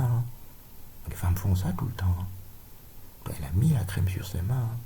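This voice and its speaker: A man's voice: low-pitched, gravelly, dark tone, slight background noise. A middle-aged man from Cameroon, giving a rambling, insistent monologue full of tag questions. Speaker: video lecturer.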